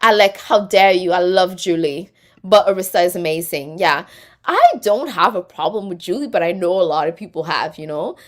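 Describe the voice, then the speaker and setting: A woman's voice: fairly low pitch, normal speed. Chatty woman hosting a live stream. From Quebec. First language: French. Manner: chatty, reads comments aloud and answers them. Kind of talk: casual live stream chat, reading viewer comments.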